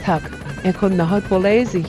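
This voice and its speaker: A woman's voice: mid-range voice, steady tone, normal speed, close to an everyday voice. A woman voicing a power-hungry, scheming old woman in a comic cartoon, theatrical and cackling, with a Bangladeshi accent. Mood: panicked. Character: scheming, power-hungry old woman, ousted ruler turned ghoulish hunter. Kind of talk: exaggerated comic cartoon voice acting. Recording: loud music under the voice.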